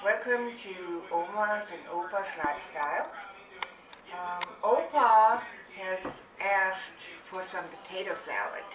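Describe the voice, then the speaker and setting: An older woman from Germany hosting a home cooking channel, explaining a family recipe step by step in a chatty, homey way. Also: on the low side. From Germany, Rhineland-Palatinate. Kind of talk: casual cooking demonstration. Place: home kitchen. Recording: echoey room, voice from a distance.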